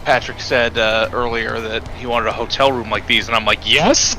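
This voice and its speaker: Bright-voiced laid-back male streamer. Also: medium pitch, steady tone, normal speed, slight background noise, low-quality recording. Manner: laid-back, laughs often, reads chat aloud. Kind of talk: casual let's-play chat.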